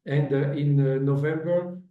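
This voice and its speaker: A man giving formal conference remarks as an agency director, halting with many fillers and restarts, speaking as an over remote call audio. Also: on the high side, steady tone. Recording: dull roomy audio from a distance.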